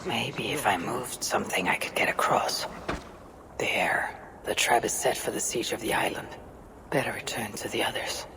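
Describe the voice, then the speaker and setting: A woman playing a bold Norse warrior and raider in a video game, speaking in a measured, blunt, grave way. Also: a bass voice, in a whisper, poor audio. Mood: brooding, quiet. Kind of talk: video game cutscene acting.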